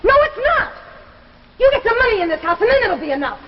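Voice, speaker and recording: An actress playing a bitter, nagging wife with an American accent and biting sarcasm, in 1950s melodrama style. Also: female voice, very high voice, sing-song, fast. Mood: scornful, nagging. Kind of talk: 1950s melodramatic anthology acting. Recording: muffled, reverberant 1950s broadcast with noise.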